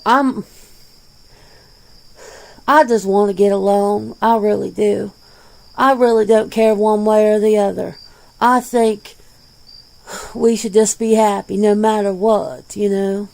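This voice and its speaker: An easygoing, peace-minded werewolf, played by a woman, answers interview questions in an ASMR roleplay. The voice is mellow and crackles with fry, and the words come out very slowly in folksy, casual phrasing. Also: medium pitch, steady tone. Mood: easygoing, earnest. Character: laid-back, plain-spoken werewolf being interviewed, peace-minded.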